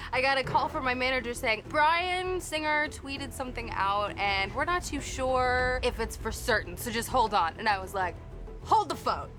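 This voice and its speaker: Young actress gushing about her role, higher voice, music going. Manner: bubbly, excitable. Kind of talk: behind-the-scenes interview.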